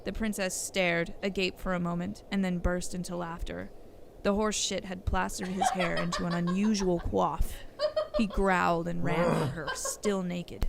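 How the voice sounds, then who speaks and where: A woman reading scripted narration for an audio story, as its narrator. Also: on the low side. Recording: clear background noise and ambience.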